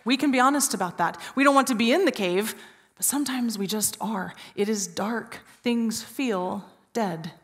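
A woman in her forties preaching an Easter sermon from a church stage, telling a story with hushed, drawn-out pauses. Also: on the high side. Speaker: pastor. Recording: reverberant church hall.

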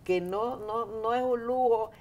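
A woman's voice: fairly high pitch, measured pace, darkish tone. A woman in her fifties with a Dominican accent, hosting a casual studio interview, talking emphatically and turning often to address her audience. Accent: Dominican Spanish. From Dominican Republic. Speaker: talk show host. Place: TV studio set, seated in an armchair. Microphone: lapel mic.

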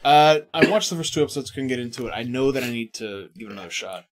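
A man in his thirties co-hosting a casual gaming podcast, swearing freely and jumping between asides. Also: medium pitch. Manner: animated, profane, quick asides and impressions. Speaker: podcast co-host. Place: indoor studio set.

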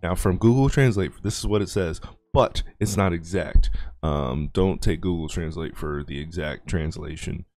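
Low dark-voiced man chatting over gameplay. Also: normal speed, no echo. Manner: rambling, chatty, self-deprecating. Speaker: YouTuber and amateur voice actor. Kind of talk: casual gameplay commentary.

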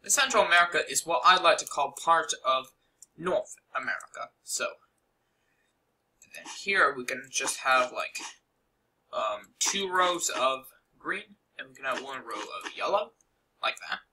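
Bright, lively rambling young man. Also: mid-range voice, a little slow, poor audio. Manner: rambling, lots of fillers and asides. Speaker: game tutorial creator. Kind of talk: casual screen-recorded game tutorial.

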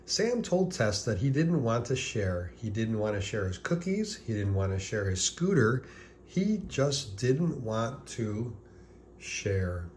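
A man reading a children's picture book aloud as scripted narration, deliberately, with pauses before key words. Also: lowish voice, big pitch swings, darkish tone, a little hiss or hum.

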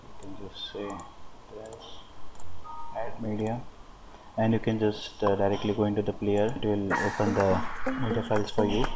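A man giving an unscripted software walkthrough as a demonstrator, with a hesitant, halting delivery. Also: fairly low pitch, little pitch movement, very noisy. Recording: music playing behind the voice. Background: music.

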